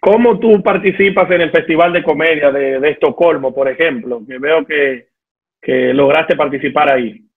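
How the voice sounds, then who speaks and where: A man in his thirties conducts an interview over a video call. His husky, slightly high voice comes through a thin, phone-like line. He asks his questions slowly and answers with brief affirmations. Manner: short affirmations, slow questions. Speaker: interviewer host. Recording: tinny phone-like call audio.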